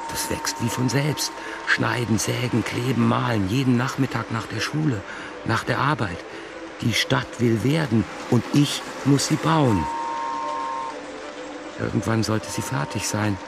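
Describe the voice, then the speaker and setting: A man's voice: mid-range voice, measured pace, creaky, background noise. An actor in a German radio play voicing a frustrated, brooding middle-aged husband who mutters to himself about his model trains. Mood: absorbed, wistful.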